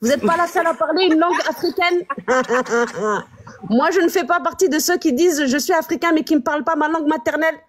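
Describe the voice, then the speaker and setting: Woman, high voice, live stream host, animated commentary, questions to viewers. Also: brisk. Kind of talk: casual commentary on clips.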